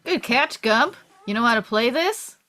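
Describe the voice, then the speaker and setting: A woman's voice: a little high, lively intonation, normal speed, sharp tone, a little hiss or hum. A woman playing a brash, bossy wounded American soldier man in a table read, with a blunt, commanding manner. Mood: coaching.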